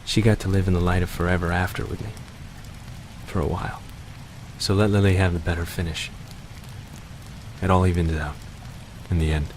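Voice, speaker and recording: Casting: male actor for sorrowful, resigned widower in a noir game, deep voice, hesitant speech. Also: little pitch movement, noisy background, close to an everyday voice. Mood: sad, resigned. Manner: halting, hesitant. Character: mournful, resigned, soft-hearted widower in a fairy-tale noir.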